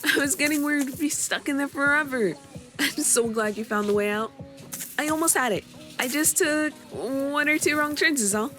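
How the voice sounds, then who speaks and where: A woman's voice: high-pitched, moderate pace, bright, forceful tone, soft background music. A woman voicing a sweet, flustered young employer who stammers sheepishly and is eager to please, in a scripted roleplay. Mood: relieved. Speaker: virtual streamer voicing her persona. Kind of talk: scripted roleplay addressed to the listener.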